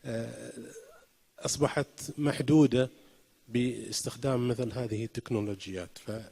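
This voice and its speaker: A native Arabic-speaking man from the audience asks a formal question at a conference, pausing often with hesitant "aah" sounds. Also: medium pitch, steady tone, creaky, warm tone. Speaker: audience member asking a question. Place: conference hall.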